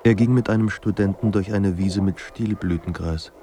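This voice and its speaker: An actor playing a sombre literary narrator in a radio drama, speaking in a measured, grave manner. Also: male voice, low voice, dark tone. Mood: reflective. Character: sombre literary narrator of a troubled writer's life.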